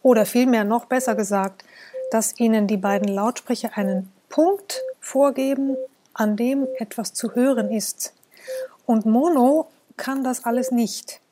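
A woman giving explanatory expert commentary in a measured, didactic and precise manner. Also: fairly high pitch, mellow. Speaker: musicology expert.

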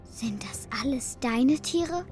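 A woman's voice: high-pitched, expressive, slow, music in the background. An actress playing a spirited, defiant young city girl in a children's radio drama, earnest and headstrong. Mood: hesitant, wondering.

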